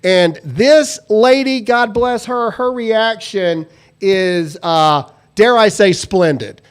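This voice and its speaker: A man's voice: high-pitched, slow pace, clean tone. A man hosting a live talk show, joking loosely and reading sponsor spots with lots of "uh" fillers. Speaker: host. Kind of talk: casual live show chat with sponsor reads.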